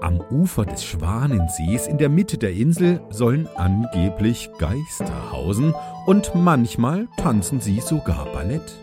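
A man's voice: medium pitch, big pitch swings, measured pace. A man reading scripted narration as the narrator of a game story. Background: prominent music.

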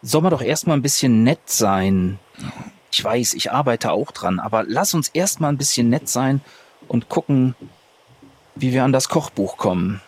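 A man's voice: mid-range voice, expressive, normal speed, breathy edge. A man casually improvising as a player in a tabletop roleplaying game.

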